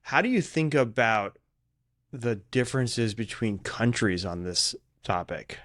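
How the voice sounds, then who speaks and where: A man's voice: mid-range voice, lively intonation, unhurried, a bit bright, no room sound, studio quality. A man with an American accent hosting a podcast interview in a casual, conversational style. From United States.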